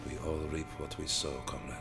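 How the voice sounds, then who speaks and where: Cold Soviet commander, very deep voice, measured authority, heavy violin score underneath. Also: male voice, level tone, gravelly. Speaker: Soviet senior officer interrogating the narrator. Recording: voice over loud string music.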